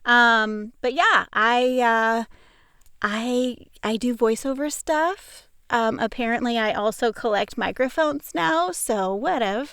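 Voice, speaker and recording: High, clear-voiced woman chatting casually. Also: slow, fairly bright tone, dry recording, studio quality. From Pacific Northwest, United States. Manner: chatty, self-deprecating, enthusiastic. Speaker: voice actor guest. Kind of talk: casual chat. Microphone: close studio mic on a video call.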